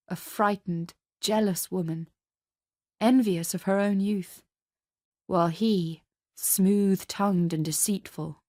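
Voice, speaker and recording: A woman's voice: lowish voice, a little mellow, dry recording, clean studio sound. A woman reading a dramatic scripted story as narrator. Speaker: narrator and reader.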